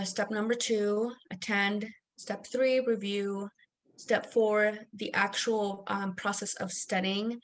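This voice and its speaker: A young woman from Mexico, a native Spanish speaker, giving an online workshop as an academic coach in a friendly, slightly halting way. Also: medium pitch, slight background noise. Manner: friendly, lightly halting with fillers.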